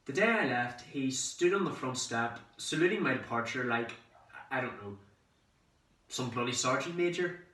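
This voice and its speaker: A man acts out a cheeky young man, full of excitement, in a theatrical monologue. The voice is bright and medium-pitched, with dramatic pauses and conversational storytelling. It sounds echoey, recorded at a distance from the microphone. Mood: wry, nervous. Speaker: amateur actor performing a monologue. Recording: roomy echo, distant microphone.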